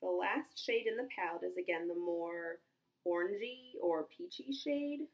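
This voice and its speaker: A woman's voice: fairly low pitch, measured pace, muffled recording. A young woman with a congested, stuffy voice, chatting casually as she swatches and reviews makeup, thinking aloud with many ums and small exclamations. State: congested from a cold. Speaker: beauty vlogger.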